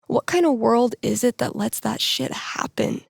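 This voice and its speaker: A woman's voice: on the high side, expressive, measured pace, gravelly, husky, dry recording, exaggerated voice. An actress playing a candid young woman radio DJ in a video game, speaking in a natural, earnest, conversational way with wry humor. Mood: troubled, raw.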